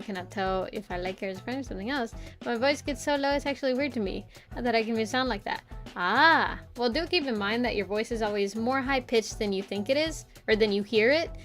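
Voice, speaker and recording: A young woman chatting casually to her stream while drawing, rambling with lots of filler words and frequent giggles. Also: fairly high pitch. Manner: giggly, playful voice play.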